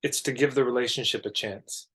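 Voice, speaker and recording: A man's voice: medium pitch, brisk, fairly bright tone, breathy edge. Canadian man explaining ideas conversationally. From Alberta, Canada. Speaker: podcast guest, marketing coach.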